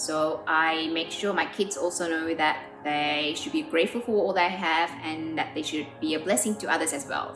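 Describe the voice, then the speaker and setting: Woman, thirties, born in South Korea: earnest pianist interviewee, low-ish voice, roomy sound, faint music behind. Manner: earnest and warm, with frequent "um" pauses. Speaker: pianist and composer. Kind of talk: documentary interview.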